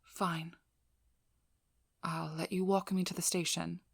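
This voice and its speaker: A woman playing a composed, guarded female vampire hunter in an ASMR role-play, breathy and often whispering, with controlled, firm delivery. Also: lowish voice, level tone, no room sound, studio quality, heavily acted. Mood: weary, dry. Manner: slow, soothing instructions, firm and controlled. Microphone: close ASMR microphone.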